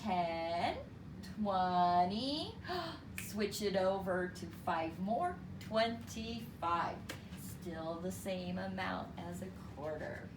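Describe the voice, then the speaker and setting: A woman reading a story aloud to children in an expressive, deliberate, teacherly way. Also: on the low side. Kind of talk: expressive read-aloud storytelling with counting lesson. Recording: roomy, distant mic with clear background noise.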